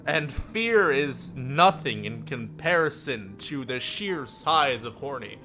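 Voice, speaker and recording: A man's voice: mid-range voice, sing-song, slow pace, bright, forceful tone. A man live-streaming a visual novel, reading it aloud theatrically with playful asides. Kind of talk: dramatic read-aloud narration with joking asides. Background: music.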